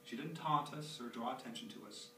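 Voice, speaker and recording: A man's voice: mid-range voice, fairly quick, gravelly, pushed and bright. A man performing a theatrical monologue as an anxious, chatty, nostalgic young dad, rambling expressively in a live reading. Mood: fond, nostalgic. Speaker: author performing own monologue. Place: small theatre stage, recorded live from a distance. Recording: roomy, distant, noisy live theatre recording.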